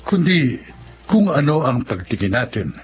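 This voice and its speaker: A man's voice: mid-range voice, big pitch swings, normal speed, over loud music. A man with a Filipino accent reading scripted radio drama narration and credits as a formal, declamatory announcer. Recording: muffled broadcast, clearly noisy background.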